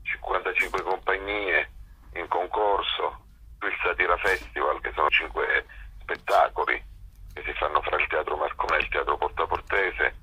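Very deep, rough, forceful man. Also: fairly even intonation, moderate pace, low-quality recording. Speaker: festival director, interview guest. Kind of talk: spontaneous radio interview.

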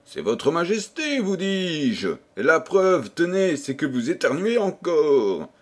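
Sly storybook courtier, man narrator in role: deferential, insinuating, falsely casual, unhurried, widely varied pitch. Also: very animated, husky, exaggerated voice. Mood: reassuring, insistent. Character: sly, malicious, smooth-talking male courtier, feigning nonchalance.

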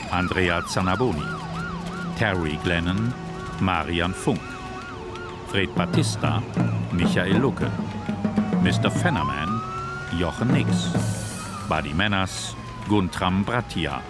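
Deep, measured male radio announcer. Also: lively intonation. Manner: formal, deliberate listing. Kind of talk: scripted radio drama credits. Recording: loud music under the voice.

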